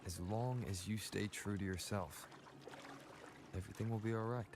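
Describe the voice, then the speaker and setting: An actor playing a calm, kind young adventurer in a video game, speaking in a measured, reassuring way. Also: male voice, fairly low pitch, noisy background, natural voice. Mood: encouraging. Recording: loud game music behind.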